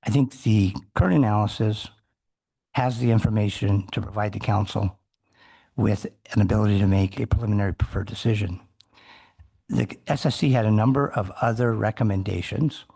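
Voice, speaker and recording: A low-pitched man with a gravelly, husky voice answers a council member's question during public testimony. He speaks in a measured, formal way, pausing and restarting as he works through his points. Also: steady tone, warm tone. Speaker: testifying fisherman. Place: council meeting room.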